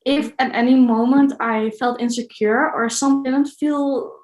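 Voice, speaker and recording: A woman's voice: high voice, fairly even intonation, normal speed, a little mellow, echoey room, poor audio. A woman from the Netherlands with a Dutch accent, answering interview questions in a casual, thoughtful, hesitant way. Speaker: interview guest, solo traveller.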